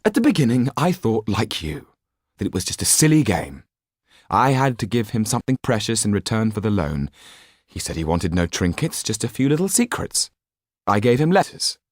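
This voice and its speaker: A man's voice: fairly low pitch, big pitch swings, moderate pace, dry recording, studio quality, voice pushed up. A man voicing a desperate aristocratic Frenchwoman in an audiobook, pleading and warning with imploring emphasis. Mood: anxious confiding.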